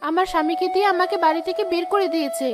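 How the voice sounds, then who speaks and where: A woman's voice: very high-pitched, fairly even intonation, very fast, music in the background, pitched above the performer's own voice. A woman voicing a sorrowful, kind-hearted outcast young wife in a cartoon fairy tale, with a plaintive, pleading manner.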